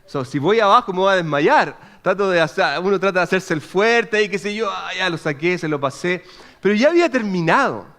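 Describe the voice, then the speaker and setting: Animated Chilean man preaching, high voice. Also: fairly quick. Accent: Chilean Spanish. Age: 30s. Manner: chatty and animated, frequent restarts and "¿ok?" check-ins. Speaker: pastor. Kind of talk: sermon with a personal story.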